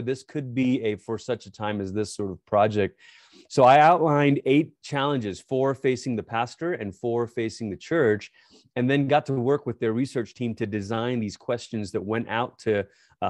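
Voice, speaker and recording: A pastor in his forties from Malaysia explains his work in an earnest, animated way. He speaks into a studio microphone in a home study. The sound is close and dry. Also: medium pitch, no echo. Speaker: pastor and author guest. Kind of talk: interview answers. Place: home study with bookshelves, remote. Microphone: boom-arm studio mic.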